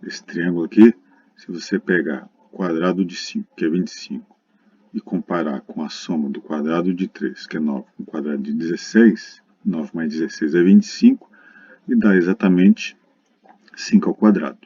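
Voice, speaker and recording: A man's voice: sits low, normal speed, dark tone, husky. A Brazilian man giving a recorded math lesson in a didactic, explanatory way, addressing students directly. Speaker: lecturer. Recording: dull lo-fi muffled recording.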